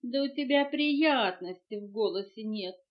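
Woman playing a vain, preening storybook wasp, very animated. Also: on the high side, a little slow. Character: vain, showy female wasp, proud of her finery. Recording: muffled home cassette recording.

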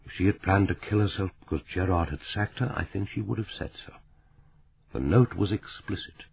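A man voicing a calm male friend who speaks gently but firmly, measured and steady. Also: sits low, gravelly, slightly rough, heavily acted. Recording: tinny phone-like sound.

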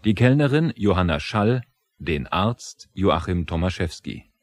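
A man announcing radio credits formally, in a measured studio delivery. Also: low voice, big pitch swings, no room sound. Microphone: close studio microphone.

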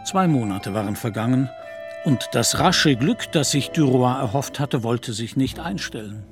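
A man reads literary narration for a radio play. His voice is mid-pitched, and music is clearly heard behind it. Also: expressive, measured pace.

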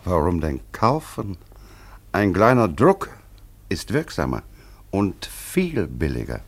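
Shrewd haughty aristocrat, radio drama, low voice, very lively intonation, formal, pompous, deliberate. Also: male voice, husky, exaggerated voice. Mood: haughty, businesslike. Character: haughty English aristocrat, shrewd polite blackmailer. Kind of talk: German radio play acting.

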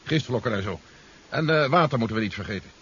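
Casting: male actor as practical military leader, medium-pitched, businesslike, old radio serial with muffled sound and clear background noise. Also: expressive, fast, a bit bright, natural voice. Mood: practical, brisk. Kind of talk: 1970s Dutch radio drama acting. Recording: dull, noisy 1970s radio, vehicle sounds.

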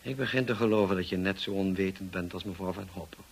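An actor playing a brusque, teasing, moody gentleman in a Dutch radio drama, speaking in a dry, commanding way. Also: sits low, fast talker, breathy edge. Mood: brusque, insistent. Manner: dry, commanding, clipped questioning.

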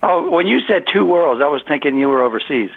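A man chatting casually as a guest over the phone in an easygoing, halting way. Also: on the high side, expressive, gravelly, husky. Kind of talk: casual chat. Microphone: telephone. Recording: tinny, muffled phone line.

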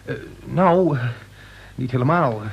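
A man's voice: medium pitch, measured pace, creaky, breathy edge, dry recording, lo-fi sound, full character voice. An actor playing a polite, hesitant young male visitor in a radio play, agreeable and mildly amazed. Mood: unsure, agreeable. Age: young adult. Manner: hesitant, agreeable, brief replies. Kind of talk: Dutch radio play dialogue.

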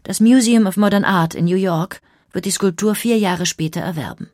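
A woman reading scripted biographical narration in a measured, polished storytelling manner. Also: mid-range voice, a little mellow, dry recording, clean studio sound.

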